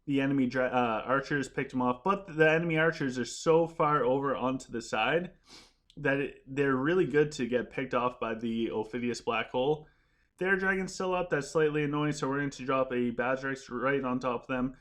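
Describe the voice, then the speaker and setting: A man streaming a strategy game, talking casually as he plays and answers chat. His delivery rambles with lots of restarts, and his voice is wearing out and getting rough. He sits at a middle pitch for a man. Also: moderate pace, a bit bright. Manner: chatty, rambling, frequent restarts while playing. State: losing his voice. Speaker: gaming live streamer. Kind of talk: casual live-stream commentary.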